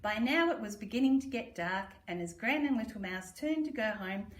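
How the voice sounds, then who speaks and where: A woman's voice: a little high, animated, normal speed. Echoey aussie lady a bit far from the mic, sing-song storytime for little kids, affectionate and theatrical. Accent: Australian. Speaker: primary school story reader. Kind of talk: children's storybook read-aloud. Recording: roomy echo, distant microphone.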